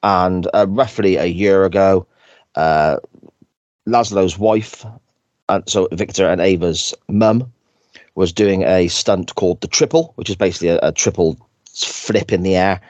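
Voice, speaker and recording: Man, croaky sore-throat voice, lowish pitch, dry close sound, rambling podcast host. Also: unhurried, a little mellow, no room sound. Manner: rambling, self-correcting. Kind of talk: casual podcast chat.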